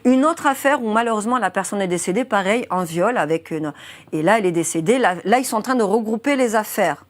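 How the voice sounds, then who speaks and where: A young woman journalist in a studio interview walks through a grim case step by step. She speaks earnestly and weighs her words with care, with plenty of small fillers along the way. Her voice sits at an ordinary pitch for a woman. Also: animated, fast talker. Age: 20s. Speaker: journalist guest. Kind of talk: interview explaining a criminal case.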